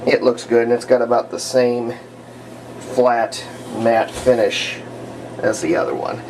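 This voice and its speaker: A man, middle-aged, giving an unhurried, rambling, step-by-step commentary on hands-on restoration work, recorded indoors at home. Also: medium pitch, fairly even intonation, a little mellow, slight background noise, poor audio. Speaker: hobbyist radio restorer presenting own repair videos. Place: indoors at home, partly in a bathroom.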